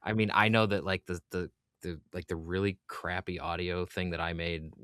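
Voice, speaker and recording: Low-voiced casual male podcast host. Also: fairly even intonation, moderate pace, fairly bright tone, clear, resonant tone, no room sound, clean studio sound. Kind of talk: casual conversational interview.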